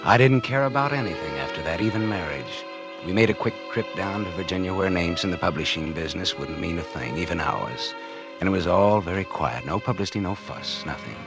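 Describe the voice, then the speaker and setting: An actor playing a scheming, smug American publisher in 1940s radio noir, speaking in a sardonic, confiding way. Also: male voice, low voice, expressive, brisk. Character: scheming, smug publisher narrating his crime, smitten lover. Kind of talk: 1940s radio noir drama acting and narration. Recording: vintage 1940s radio recording with music.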